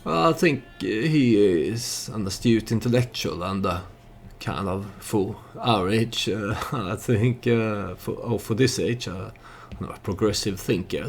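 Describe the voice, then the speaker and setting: A man hosts a storytelling let's play in a very low voice with plenty of vocal fry. He thinks aloud in a halting, hesitant way as he comments on the game. A faint layer of noise sits behind him.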